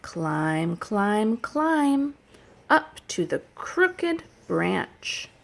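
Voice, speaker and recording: Slow, animated woman entertaining toddlers. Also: medium pitch, vocal fry. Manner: slow, animated, simple child-directed phrasing. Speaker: childcare educator reading and singing to toddlers. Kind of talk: sing-song picture book storytelling and play for toddlers.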